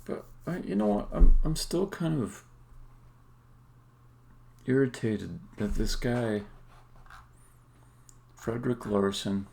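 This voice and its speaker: A man talking casually and haltingly as he thinks aloud on a live coding stream, with some words stressed emphatically. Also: lowish voice, animated, vocal fry, warm tone. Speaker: game developer livestreaming.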